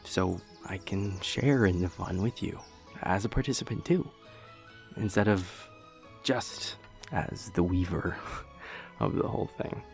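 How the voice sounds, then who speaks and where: A man's voice: sits low, measured pace, creaky, long pauses, soft background music. A young man playing a dorky, earnest boyfriend in an intimate audio roleplay, rambling hesitantly and nerdily with playful self-deprecation. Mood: earnest, fond. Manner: hesitant, rambling, nerdy explaining.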